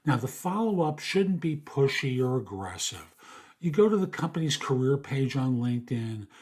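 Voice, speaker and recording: An older man with a raspy, hoarse voice talks through job search advice in a steady, practical way. His pitch sits in a middle range, and he keeps a plain-spoken, casual tone as a podcast host. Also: normal speed. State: hoarse after an illness. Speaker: podcast host and career coach.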